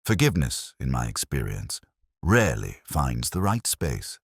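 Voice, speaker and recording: A man playing a melancholy, world-weary English police inspector, narrating his own story in a measured, literary, reflective way. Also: rumbling low, sing-song, husky, no room sound, studio quality, exaggerated voice. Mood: melancholy, solitary. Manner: measured, brooding, literary delivery. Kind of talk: scripted first-person narration, literary detective drama.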